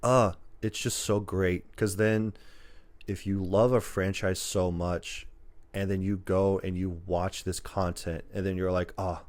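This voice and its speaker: Guy with a lowish voice, podcast host chatting casually, acts out little quotes as he tells stuff. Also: a little slow. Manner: animated storytelling, acts out imagined dialogue. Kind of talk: casual chat about movies.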